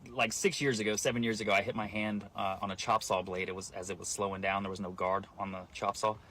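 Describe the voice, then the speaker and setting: A man's voice: lowish voice, level tone, fast, sharp tone. A man telling a casual personal story in a rambling, self-mocking way, full of fillers.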